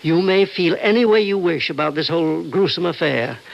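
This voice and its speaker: Earnest old radio drama doctor, creaky voice, persuading carefully, muffled vintage recording. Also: male voice, a little high, lively intonation. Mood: earnest, reassuring. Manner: earnest, hesitant, measured persuasion. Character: concerned, reasoning older male doctor and confidant.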